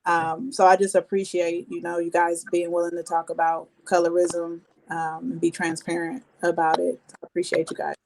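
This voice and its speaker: A woman's voice: lowish voice, completely flat delivery, normal speed, few pauses, darkish tone, slight background noise, poor audio. A woman hosting a casual discussion, talking in a reflective, conversational way. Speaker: host.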